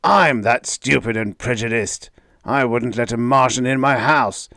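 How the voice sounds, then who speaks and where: A man reading aloud, voicing an angry, bigoted middle-aged father who shouts and rants. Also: medium pitch, measured pace, no echo, clean studio sound, voice pushed up. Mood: angry ranting. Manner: ranting, shouting.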